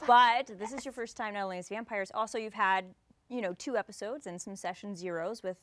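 A woman hosting a casual talk show, chatting in an excitable, joking way. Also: mid-range voice, brisk, no echo. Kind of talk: casual chat. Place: indoor studio set.

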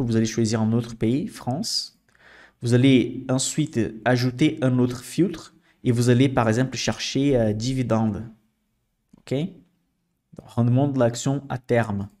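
Young guy, teaching stock filters on screen share, steady mid voice, lots of "ok?". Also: medium pitch. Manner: explanatory, frequent "ok?" checks and fillers. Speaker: investing channel host. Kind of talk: screen-share finance tutorial.

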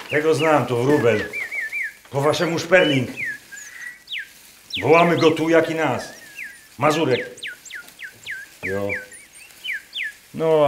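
Folksy Masurian man, lively swooping delivery. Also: mid-range voice, noisy background. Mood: curious, teasing. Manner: folksy dialect, probing, declamatory. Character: gruff, defiant rustic Masurian man, talkative and proud. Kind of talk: theatrical radio drama dialogue. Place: performed live in a wooden barn.

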